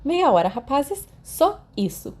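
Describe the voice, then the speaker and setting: A Brazilian woman voicing a caring, gently scolding school nurse in a storybook reading. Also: sits high, sing-song, slow pace, voice pushed up, exaggerated voice. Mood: resigned.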